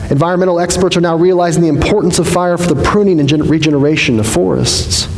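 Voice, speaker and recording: A man in his forties, a pastor giving a sermon from a pulpit in a church sanctuary, speaking earnestly and slowing down for emphasis. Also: fairly high pitch. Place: large church sanctuary, indoors. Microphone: headset mic at a pulpit. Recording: echoey hall reverb, faint hiss.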